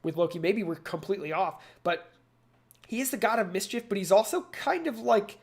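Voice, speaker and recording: A man co-hosting a casual pop culture discussion, thinking out loud and posing rhetorical questions. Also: high voice, animated, fairly quick, vocal fry. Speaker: podcast co-host.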